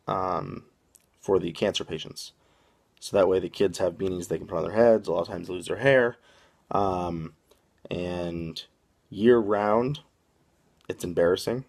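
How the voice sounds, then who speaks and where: A man from the Boston area hosts a casual podcast with a fairly low, gravelly voice. He rambles as he goes and fills his pauses with plenty of "um"s. There is a rough fry at the bottom of his voice. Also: a little mellow. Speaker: podcast host.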